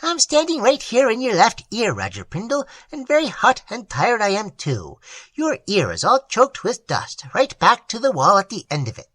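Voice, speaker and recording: Tiny wheedling male troll, as a woman puts him on, shrill and tinkling, wildly swooping pitch. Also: wildly swooping pitch, moderate pace, a bit bright, dry recording, full character voice. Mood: pleading.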